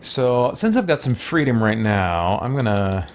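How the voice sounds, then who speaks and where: A man's voice: fairly low pitch, very animated, a little slow, a little hiss or hum. A man hosting a let's play, chatting casually and thinking aloud in a rambling, musing way. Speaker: gaming host. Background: faint game music.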